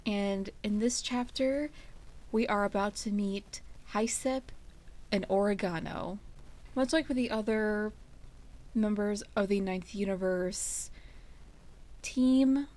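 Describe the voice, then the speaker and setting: A woman's voice: mid-range voice, slow pace, long pauses, a little mellow. A woman hosting and narrating a fan fiction reading in a casual, storytelling style. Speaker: fan fiction reader and channel host.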